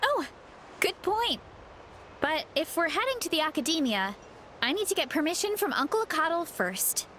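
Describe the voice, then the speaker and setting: A woman voicing an earnest, good-natured, polite young farm girl in a video game, sincere and gentle. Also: extremely high pitch, sing-song, moderate pace, a little hiss or hum, heavily acted. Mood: agreeable. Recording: game music behind.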